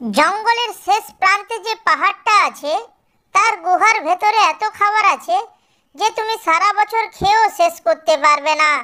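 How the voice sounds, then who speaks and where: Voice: extremely high pitch, animated, moderate pace, pushed and bright, pitched above the performer's own voice, exaggerated voice. A performer voicing a small, clever cartoon tortoise who coaxes and teases while feigning meekness. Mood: coaxing, meek. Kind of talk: children's cartoon character voice.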